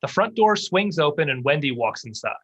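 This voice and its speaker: Bright, husky man reading stage directions. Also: fairly high pitch, big pitch swings, brisk, highs cut off. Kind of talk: scripted narration at a table read.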